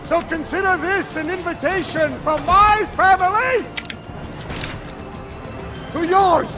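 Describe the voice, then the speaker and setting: An actor playing a menacing, mocking nobleman villain in a video game cutscene, speaking in a theatrical, deliberate way. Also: very high voice, over music. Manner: theatrical, taunting, slow and deliberate.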